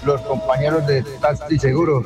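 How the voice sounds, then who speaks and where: Male Colombian taxi driver, fairly high voice, friendly dispatch greetings, distant under loud music. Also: flat delivery, normal speed. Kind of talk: colloquial radio dispatch message.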